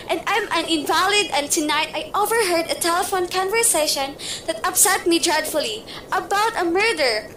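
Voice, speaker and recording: A woman's voice: very high voice, sharp tone, a little hiss or hum, heavily acted, low-quality recording. A young actress in a student radio play, voicing a fretful, demanding invalid woman who grows panicked. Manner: fretful, imperious, rising to panic. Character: frightened bedridden wealthy woman, demanding and nervous. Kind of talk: amateur radio play acting.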